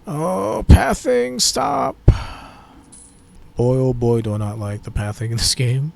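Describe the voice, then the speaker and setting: A man's voice: mid-range voice, animated, slow, vocal fry, a little mellow. A young adult man with a tired voice, giving laid-back, slangy gameplay commentary and swearing at mishaps. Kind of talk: casual let's-play commentary.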